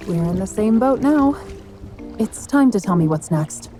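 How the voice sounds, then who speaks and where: A young woman voice-acting a capable, skeptical bodyguard heroine in a video game cutscene, practical and quick to retort. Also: mid-range voice, lively intonation, dark tone, close to an everyday voice. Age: young adult. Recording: music behind the voice.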